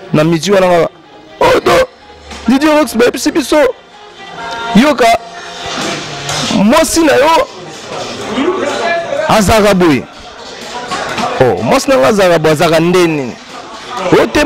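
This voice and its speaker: A man from Congo-Brazzaville with a Congolese French accent, a guest artist rambling through an interview and giving long shout-outs. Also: high-pitched, creaky, rough recording.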